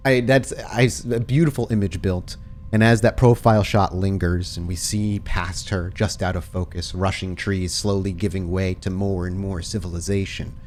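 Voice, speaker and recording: A man's voice: deep voice, steady tone, normal speed, a little mellow, a little hiss or hum. A man working as game master, narrating a tabletop game in dramatic, cinematic scene-setting descriptions.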